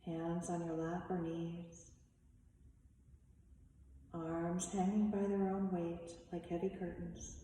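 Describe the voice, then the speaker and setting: In a very echoey, reverberant space, a woman guides a meditation at a very slow pace. She speaks in short, measured phrases with long pauses, and her delivery stays very even in pitch. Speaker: meditation and yoga teacher. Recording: echoey reverberant room, distant mic, faint noise.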